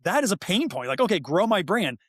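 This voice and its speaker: A man's voice: sits high, big pitch swings, brisk, a bit bright, no room sound, clean studio sound. A man speaking as a guest expert on a podcast, explaining things conversationally in an emphatic, informal way and repeating words for stress. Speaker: guest expert, author and behavioral scientist.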